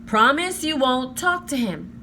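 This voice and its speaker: A woman's voice: high-pitched, big pitch swings, a little slow, sharp tone, a little hiss or hum, voice pushed up, exaggerated voice. A woman voicing a cautious, bossy young boy in a children's storybook, speaking in an exasperated, emphatic, terse way. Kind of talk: children's storybook read-aloud.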